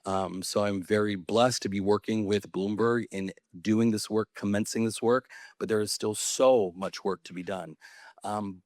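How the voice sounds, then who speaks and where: A man's voice: fairly low pitch, darkish tone, dry recording, studio quality. A man in his thirties from California, a mayor speaking on a conference panel, talking with earnest, passionate conviction. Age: 30s. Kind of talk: panel talk to an audience.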